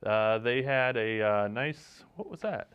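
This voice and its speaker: A man hosting a comedy show with casual banter, in a hesitant, deadpan manner. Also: medium pitch, slow, clear, resonant tone. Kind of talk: casual bantering monologue and interview. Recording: dull lo-fi recording.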